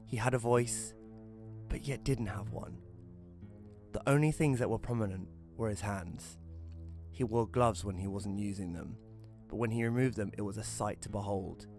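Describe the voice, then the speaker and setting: Audio drama narrator, conversational and wry storyteller, lowish voice male performer, light music underneath. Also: a little mellow, close to an everyday voice. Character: Rory, casual storyteller befriending a ghost. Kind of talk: scripted first-person narration.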